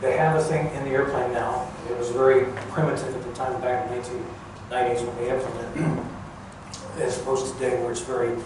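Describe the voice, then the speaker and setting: An older man gives an informal talk in a big, very echoey room, picked up from far off with clear background noise. His delivery is plain-spoken and halting, at a middling pitch. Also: little pitch movement. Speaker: guest speaker, former air traffic controller. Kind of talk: informal public talk recounting career. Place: large echoey museum room.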